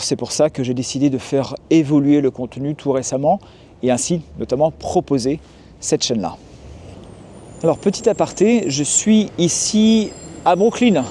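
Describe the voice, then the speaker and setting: A man in his forties from France, calmly explaining things in a casual outdoor vlog, with frequent hesitations. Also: a little high, a little hiss or hum. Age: 40s. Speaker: YouTuber and tour guide. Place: city street outdoors.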